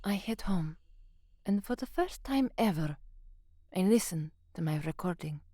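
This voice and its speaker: An actress playing a young newsreader in a science fiction audio drama, weary and anxious. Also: female voice, fairly low pitch, animated, measured pace, warm tone. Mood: weary, introspective. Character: young slum-born newsreader, anxious, weary, hard-drinking narrator. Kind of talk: audio drama first-person narration and newsreading.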